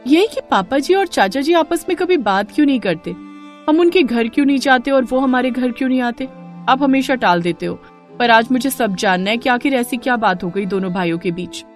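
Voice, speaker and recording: A woman's voice: a little high, animated, a little fast, faint music behind, close to an everyday voice. A woman playing a caring, determined young daughter-in-law in a cartoon family story, coaxing and insistent.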